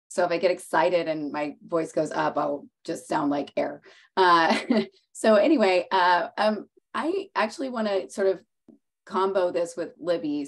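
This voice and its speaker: A woman panelist getting over a cold, chatting quickly with lots of fillers over a video call. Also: low-pitched. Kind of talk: online panel talk.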